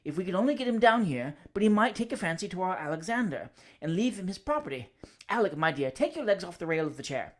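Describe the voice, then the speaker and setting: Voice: medium pitch, very animated, fast talker. A reader voicing Missus Button, an ambitious, doting wife and mother who schemes eagerly in a storybook reading.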